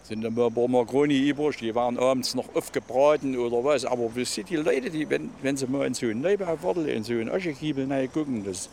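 A man's voice: medium pitch, quick pace, creaky, few pauses, a little mellow, a little hiss or hum. An older man talking spontaneously and emphatically as an interviewee, often repeating his phrases for weight. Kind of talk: spontaneous opinionated talk.

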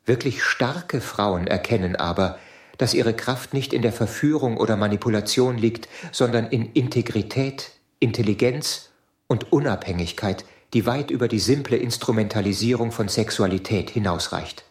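In a reverberant room, a slightly deep-voiced space traveler played by a man talks earnestly. He is the naive peacemaker of this radio play, measured and reasonable. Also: close to an everyday voice. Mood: earnest, dry. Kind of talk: scripted audio drama acting. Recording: echoey room.

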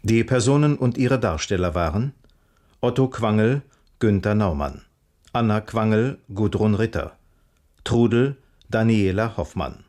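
A man with a fairly low voice makes a formal radio announcement. He reads from a script slowly and in a measured way, item by item. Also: expressive, darkish tone. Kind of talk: scripted credits reading.

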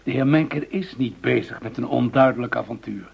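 An actor in a Dutch radio play playing a senior official who mediates in a formal, measured, businesslike manner. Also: male voice, mid-range voice, gravelly, darkish tone, breathy edge. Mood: calm, firm. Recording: dull, muffled radio drama recording.

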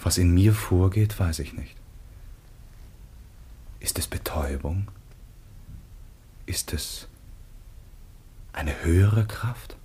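An actor playing a dutiful, troubled grown son in a classical radio play, speaking with solemn, measured reverence. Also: male voice, deep voice, dark tone, slight background noise. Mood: hushed, bewildered.

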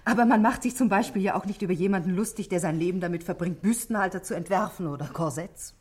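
A woman's voice: mid-range voice, quick pace, darkish tone, husky, close to an everyday voice. An actress in a 1960s German radio play, playing a composed, middle-aged married woman who speaks politely and articulately, confiding her worries. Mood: earnest, worried.

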